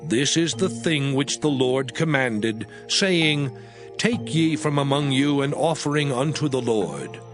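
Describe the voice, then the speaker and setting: Male actor as commanding biblical lawgiver, slow measured delivery, solemn declamation, audio scripture drama, clear music behind. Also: mid-range voice, animated, lightly acted. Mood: solemn, instructing.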